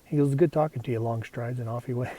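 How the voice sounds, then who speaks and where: A man's voice: medium pitch, fairly even intonation, quick pace, mellow, slight background noise. A man with a Texas accent talking casually to camera while out on a mountain trail, rambling with lots of fillers. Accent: Texan. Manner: rambling, easygoing, with frequent fillers. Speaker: thru-hiker vlogging his hike. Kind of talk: casual vlog narration.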